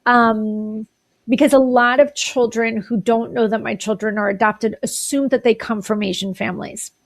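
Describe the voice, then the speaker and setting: A woman's voice: a little high, fairly even intonation, moderate pace, creaky. A woman hosting an interview podcast, explaining ideas in clinical terms and often checking in with "right?". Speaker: podcast host.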